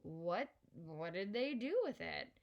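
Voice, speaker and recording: A woman reading aloud and voicing a shy, curious boy with an eager, high, questioning voice. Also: sing-song, takes it slowly, creaky, muffled recording, heavily acted. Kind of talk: audiobook character reading.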